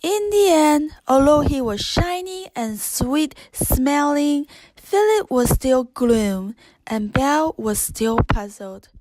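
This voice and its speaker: A woman reading a children's read-along storybook as narrator, in an expressive storytelling manner. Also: high-pitched, takes it slowly, dry recording.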